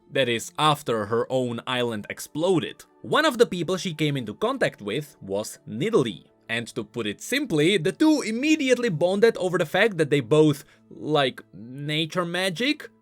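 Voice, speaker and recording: A male YouTuber explains game lore in a fairly high voice with very lively swings of pitch. His delivery is scripted and emphatic, with light music behind him. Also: dry recording. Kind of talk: scripted commentary on game voice lines.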